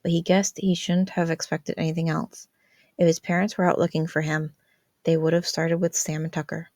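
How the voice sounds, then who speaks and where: Female amateur story reader, lower register, fan fiction narration, dry sound. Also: flat delivery, moderate pace, darkish tone, dry recording.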